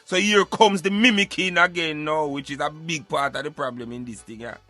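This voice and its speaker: A man's voice: fairly high pitch, moderate pace, bright, forceful tone, no room sound, soft background music. A Jamaican man giving emphatic, opinionated social commentary in Patois, like a street philosopher preaching on a radio show.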